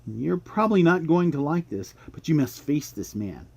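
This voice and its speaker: An actor playing a sinister, knowing guide who coaxes people toward their inner monster in a radio mystery drama. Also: male voice, fairly high pitch, very animated, moderate pace, creaky, darkish tone, slightly rough, exaggerated voice. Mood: persuasive, ominous. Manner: coaxing, knowing, theatrical. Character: smooth-talking sinister male guide to one's inner monster.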